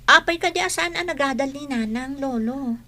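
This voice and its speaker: A woman acts an eager young Filipino student in a radio drama. The voice is high-pitched and questioning, like a grandchild talking with elders. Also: moderate pace, sharp tone.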